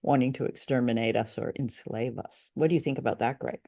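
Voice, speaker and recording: A woman's voice: extremely low pitch, brisk, dark tone. A woman co-hosting a chat about old radio drama, talking in a casual, somewhat hesitant conversational way. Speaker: co-host of an old-time radio drama discussion show. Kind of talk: casual chat, review commentary.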